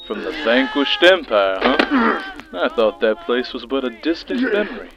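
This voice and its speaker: A man playing a smug, teasing treasury agent in an audio drama, with a sardonic, smooth-talking manner. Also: medium pitch, wildly swooping pitch, normal speed, heavy background noise. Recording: dull, band-limited, music behind.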